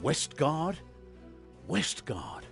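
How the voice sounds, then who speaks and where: An actor playing a weary veteran demon hunter in a fantasy game, with a gruff, wary and intense manner. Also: male voice, fairly high pitch, wildly swooping pitch, very slow, a bit bright, faint music behind, full character voice. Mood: alarmed, suspicious. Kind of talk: fantasy video game voice acting.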